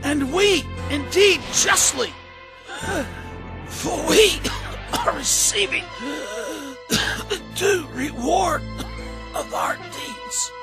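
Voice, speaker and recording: A humble condemned man near death, speaks slowly in a strained, very high voice. He is weak and gasping, in a biblical audio drama with music behind him. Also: expressive, heavily acted. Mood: agonized rebuke. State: coughing, weak, gasping in agony. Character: dying, repentant crucified criminal, humble and pleading. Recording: prominent music bed.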